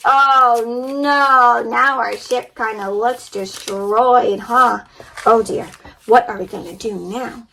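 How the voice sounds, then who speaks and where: A woman reading a storybook aloud to young children with animated, wide-eyed expression, guiding them directly as she goes. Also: a little high, takes it slowly, vocal fry, slight background noise, rough recording. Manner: addresses young listeners directly with questions and sound effects. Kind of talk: interactive storybook reading and paper-folding instruction.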